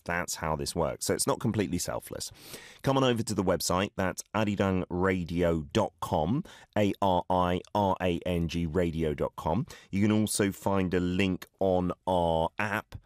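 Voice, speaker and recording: Deep-voiced chatty male radio host. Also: darkish tone, no echo, clean studio sound. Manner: chatty and upbeat. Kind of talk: radio show chat. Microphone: studio mic.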